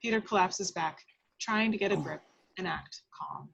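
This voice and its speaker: A woman's voice: lowish voice, moderate pace, gravelly, a bit bright, lo-fi sound, slight background noise. A woman reading stage directions as the narrator of a script table read, in a dramatic storytelling style.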